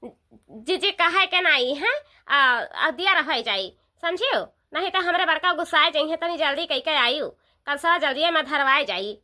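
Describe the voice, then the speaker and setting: A woman's voice: high-pitched, lively intonation, measured pace, pushed and bright, exaggerated voice. A woman voicing a bossy, gossipy village sister-in-law in a comedy cartoon, emphatic and repetitive with an Awadhi accent. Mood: urging, eager.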